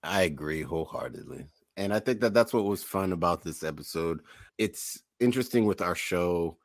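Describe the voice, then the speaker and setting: A man hosting a casual podcast, addressing listeners in measured, promotional show-host phrases. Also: low voice, expressive, no room sound, studio quality.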